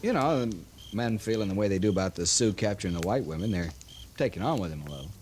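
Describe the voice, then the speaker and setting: Man playing uneasy army subordinate, american, hesitant, pitch moves a lot, slightly noisy old radio drama. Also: expressive, fast talker, a little mellow, natural voice. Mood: uneasy, apologetic. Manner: hesitant, deferential. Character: deferential, evasive cavalry subordinate reporting to his captain. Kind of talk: 1950s Western radio drama acting. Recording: faintly noisy 1950s radio recording.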